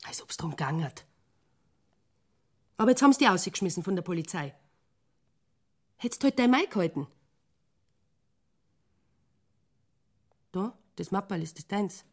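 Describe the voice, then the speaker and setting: A woman's voice: fairly low pitch, expressive, normal speed, pauses often, slightly rough. Scolding, exasperated Bavarian woman. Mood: reproachful. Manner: reproachful, down-to-earth. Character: scolding, exasperated Bavarian woman who worries about him. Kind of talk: radio drama acting.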